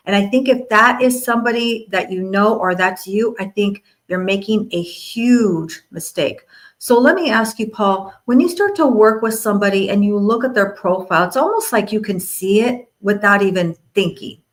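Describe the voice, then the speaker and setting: A woman's voice: medium pitch, moderate pace, fairly bright tone. A woman hosting an interview show in a conversational style, setting up questions for a guest. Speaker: interview host.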